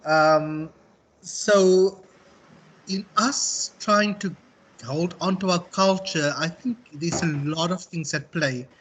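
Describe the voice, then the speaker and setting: A South African man speaking as a university tutor in an informal online class, reflective and halting as he circles back to rephrase. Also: on the high side, a bit bright, a little hiss or hum, low-quality recording. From Western Cape, South Africa. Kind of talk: informal online lecture and discussion.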